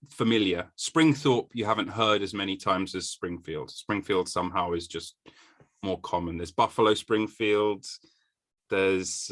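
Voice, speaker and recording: A man's voice: lowish voice, fairly bright tone. A man hosting a podcast, chatting casually and joking with frequent laughs over a video call. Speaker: podcast host. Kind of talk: casual chat.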